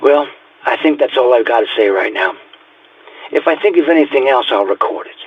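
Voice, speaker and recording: Man acting a husky, world-weary detective. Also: medium pitch, expressive, brisk, phone-quality sound. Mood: uneasy, hopeful. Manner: reflective, confiding, world-weary. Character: weary haunted male police detective nearing retirement. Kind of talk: audio drama recorded-journal monologue.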